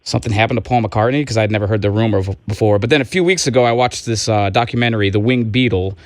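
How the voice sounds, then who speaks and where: A man's voice: on the low side, fast, no echo. A young man hosting a radio interview from the studio, hesitant with frequent ums and restarts. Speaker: radio host. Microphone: studio microphone.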